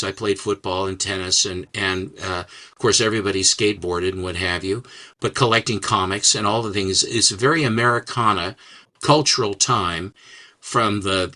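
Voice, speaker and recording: Older man, halting rambling storyteller. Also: fairly low pitch, pushed and bright. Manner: rambling, halting, with many restarts and fillers. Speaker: guest, comics writer and collector. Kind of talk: casual interview chat.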